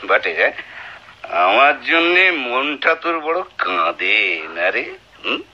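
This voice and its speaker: An actor in a Bengali radio play playing a cruel, scornful husband with a menacing, taunting delivery. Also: male voice, mid-range voice, sing-song, slow pace, sharp tone, heavily acted. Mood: scornful, furious. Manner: theatrical, menacing, taunting interrogation. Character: cruel, domineering, abusive husband, scornful and deceitful. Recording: dull lo-fi radio drama recording.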